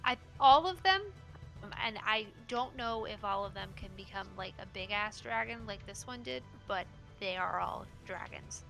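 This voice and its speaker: Bright-toned woman, tabletop player, hesitant and halting, faint music behind. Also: mid-range voice, steady tone, creaky, rough recording. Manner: hesitant, lots of fillers. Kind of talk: casual tabletop play over voice chat.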